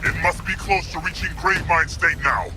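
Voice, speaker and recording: Man as stern alien brute commander, notably high male pitch, forceful, grim, video game, loud music behind. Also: fairly quick, close to an everyday voice. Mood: grim resolve. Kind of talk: dramatic video game acting. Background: loud music, machinery, vehicles.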